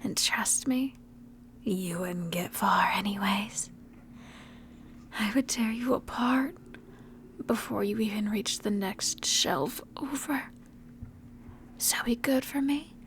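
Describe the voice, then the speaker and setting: A woman voicing a seductive, predatory, ancient vampire in a breathy, intimate whispered ASMR roleplay. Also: mid-range voice, slight background noise, natural voice. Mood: menacing, controlled. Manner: slow, intimate, menacing endearments.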